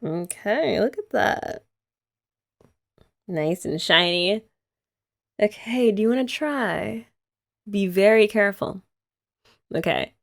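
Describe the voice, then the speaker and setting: A woman's voice: medium pitch, animated, slow, vocal fry, pauses often. A young woman hosting an ASMR girlfriend roleplay, chatty and giggly as she rambles. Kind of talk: soft-spoken ASMR roleplay chat.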